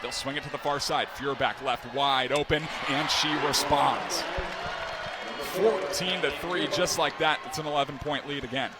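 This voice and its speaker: A young man doing live play-by-play, with a quick, crisp call that climbs into excited shouts on big plays. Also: on the high side. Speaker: play-by-play announcer. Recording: broadcast with loud arena crowd and noise.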